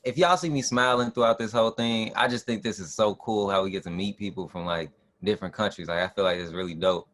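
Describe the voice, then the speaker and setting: A man's voice: lowish voice, fast, hardly any pauses, a bit bright. A young man from Chicago introducing himself casually on a video call, easygoing and enthusiastic. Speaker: workshop participant. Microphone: video call from a home room.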